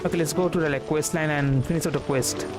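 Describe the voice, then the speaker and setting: A man's voice: on the high side, fairly even intonation, quick pace. A young man, casually commentating a game livestream in a rambling way, repeating words. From India. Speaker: streamer. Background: game music.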